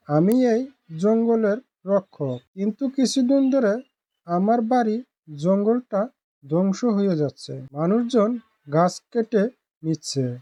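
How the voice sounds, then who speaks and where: A woman voicing a calm, dignified talking tiger, guardian of the jungle, in an animated fable, with solemn, measured pleading. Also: mid-range voice, no echo, highs cut off. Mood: troubled, pleading.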